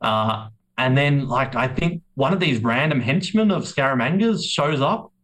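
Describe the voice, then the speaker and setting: A man's voice: mid-range voice, expressive, measured pace, fairly bright tone, low-quality recording. Man in opinionated casual discussion. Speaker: podcast co-host.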